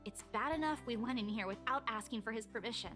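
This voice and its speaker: A woman's voice: a little high, quick pace, a bit bright, soft background music, close to an everyday voice. An actress playing an anxious, reproving young woman in a horror visual novel. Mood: reproachful.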